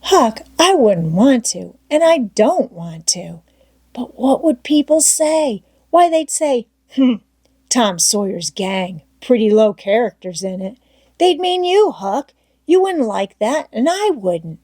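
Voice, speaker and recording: An excitable boy who schemes and bosses his gang in a storybook played by a woman narrator. He talks in a raised, emphatic, persuasive voice. The sound is close and dry. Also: fairly high pitch, takes it slowly, slightly rough, no echo, voice pushed up, full character voice. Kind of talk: storybook character voice in audiobook reading.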